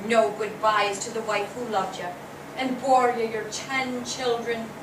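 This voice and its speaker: A woman's voice: on the high side, level tone, measured pace, background noise, close to an everyday voice. A woman playing a stern judge of the afterlife in a staged period drama, probing and reproaching a dead man. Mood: reproachful. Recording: echoey theatre, distant microphone.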